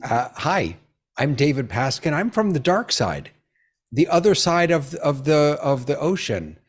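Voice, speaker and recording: A man's voice: on the high side, very animated, measured pace, breathy edge. An American man, a rabbi, introduces himself to a panel with a self-deprecating sense of humor. His speech is casual and easygoing, with some fillers along the way. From United States. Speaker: rabbi and educator.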